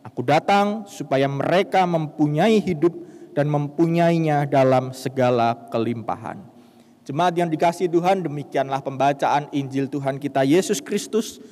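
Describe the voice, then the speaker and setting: A male preacher with a broad Banyumas Javanese accent and a somewhat high voice. He reads and preaches with emphatic, rising delivery. The sound carries a long church reverb. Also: normal speed, a little mellow. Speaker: pastor. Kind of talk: sermon and scripture reading.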